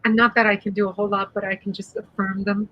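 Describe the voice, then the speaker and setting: A woman's voice: mid-range voice, quick pace, highs cut off, a little hiss or hum. A woman from Iran, whose first language is Persian, speaking expressively and with some sass as a guest on a video-call panel conversation. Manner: expressive, sassy, earnest. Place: home room.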